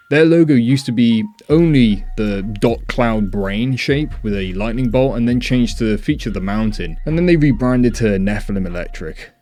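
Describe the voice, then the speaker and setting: A man's voice: medium pitch, dark tone. A man hosting a reaction video, giving casual commentary on clips.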